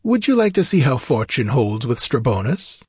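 A man's voice: medium pitch, very animated, normal speed, darkish tone, breathy edge, dry recording, studio quality. A man voicing a sly, world-weary, pleasure-loving sorcerer with an eloquent, theatrical manner in a fantasy audiobook. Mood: courteous, smooth.

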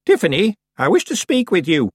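A man voicing a very old, calm and stern witch woman with a dry, matter-of-fact manner. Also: a little high, normal speed, breathy edge, no echo, voice pushed up, exaggerated voice.

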